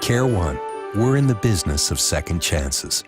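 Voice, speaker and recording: A man's voice: sits low, sing-song, moderate pace. A male announcer reading a commercial script in an earnest, reassuring way. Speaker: ad voice-over announcer. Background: music.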